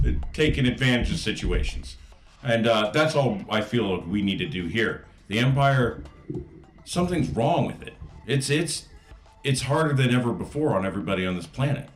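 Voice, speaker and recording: A man playing a tabletop role-playing game, speaking casually and correcting himself as he goes. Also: medium pitch. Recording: echoey room.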